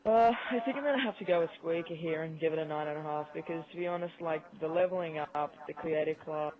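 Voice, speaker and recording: A man's voice: fairly high pitch, fast talker, narrow, tinny audio. A man in a casual gaming chat over an online voice call, with game audio behind him. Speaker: podcast guest. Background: video game audio.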